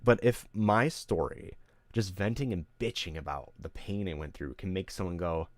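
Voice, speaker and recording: Deep-voiced guy streaming, rambling and swearing, real emotional, dry sound. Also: normal speed, dry recording. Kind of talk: candid venting on a livestream.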